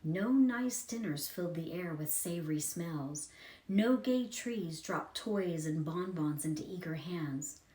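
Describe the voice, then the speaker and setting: A woman's voice: lowish voice, animated, unhurried, mellow, roomy sound, rough recording. A woman reading a children's story aloud as a scripted narration.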